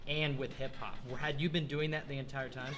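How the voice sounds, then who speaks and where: A man's voice: medium pitch, little pitch movement, fairly quick. A man in the audience asks a spontaneous question at a live talk. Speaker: audience member asking a question. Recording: echoey room, clear background noise.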